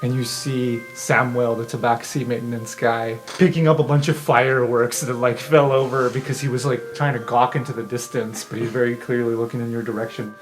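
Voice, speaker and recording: A man's voice: mid-range voice, steady tone, a little fast, few pauses, faint music behind. A man narrating an improvised tabletop game casually as game master.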